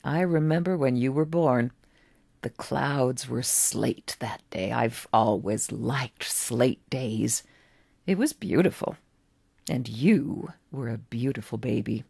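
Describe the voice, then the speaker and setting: A woman voicing a gentle, wistful, very old man in a story, musing kindly with little rhetorical asides. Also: rumbling low, slow, gravelly, breathy edge, exaggerated voice. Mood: fond, musing.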